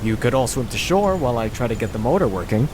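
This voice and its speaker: An actor playing an earnest, flustered young army radio technician in an audio drama, eager and anxious. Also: male voice, medium pitch, big pitch swings, fast, close to an everyday voice. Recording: loud effects noise behind.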